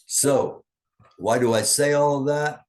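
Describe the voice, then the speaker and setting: A man's voice: mid-range voice, a little slow, a little mellow. A man hosting an online news commentary show in a casual, rambling way, full of fillers and restarts. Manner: rambling, thinking aloud with many fillers.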